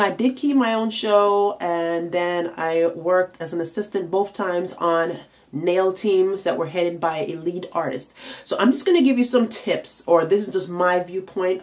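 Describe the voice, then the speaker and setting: A tired, congested woman giving chatty career advice to camera as a makeup and nail artist, with plenty of fillers. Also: lowish voice. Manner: chatty, frequent fillers, emphatic rhetorical questions. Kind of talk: casual advice vlog to camera.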